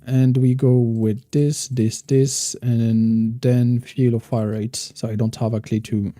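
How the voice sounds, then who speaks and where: Guy streaming a game, chatting with chat in halting english, deep mellow voice, dry sound. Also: flat delivery, no echo. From Morocco. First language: Moroccan Arabic. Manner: thinks aloud, chats with viewers, halting non-native phrasing. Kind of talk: casual live-stream gameplay commentary.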